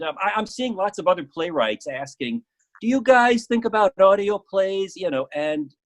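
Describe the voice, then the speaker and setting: A middle-aged man speaking casually as an interview guest, often repeating words as he thinks aloud. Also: mid-range voice. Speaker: interview guest, playwright and proofreader.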